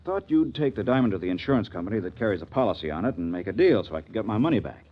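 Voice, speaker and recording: A man's voice: on the low side, very animated, rapid-fire, narrow, tinny audio. An actor playing a nervous, fretful male pawnbroker in a 1940s radio drama, hesitant and apologetic in manner.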